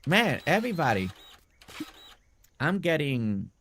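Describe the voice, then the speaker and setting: A man's voice: fairly high pitch, big pitch swings, slow, a bit bright, a little hiss or hum. A man streaming a building game, chatting casually with viewers by name and a little congested. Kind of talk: casual live-stream chat while building.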